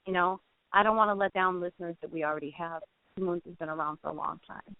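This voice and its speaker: Chatty laughing co-host on a phone line. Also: male voice, high-pitched, fairly even intonation, fast talker, no room sound. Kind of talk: casual radio chat. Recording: dry tinny phone-like line.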